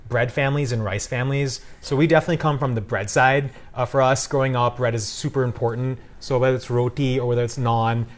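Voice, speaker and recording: A man's voice: medium pitch, steady tone, fast, few pauses. An upbeat, chatty man explaining food casually as a guest cooking demonstrator. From Punjabi Indian family.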